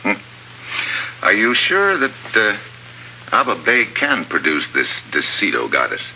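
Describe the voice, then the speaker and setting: An actor playing a laconic American government agent in 1950s radio drama, with a dry, hard-boiled delivery. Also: male voice, lowish voice, huge pitch swings, unhurried, sharp tone, a little hiss or hum, heavily acted, low-quality recording. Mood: wry, probing. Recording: vintage 1950s radio with music.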